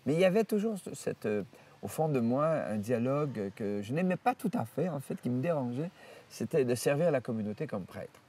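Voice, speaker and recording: A man's voice: mid-range voice, fairly quick, recorded from a distance. Older man, reminiscing. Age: 70s. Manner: rambling, many hesitations and restarts, lively retelling of dialogue. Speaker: priest interviewed about his life. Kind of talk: storytelling interview. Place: outdoors in nature.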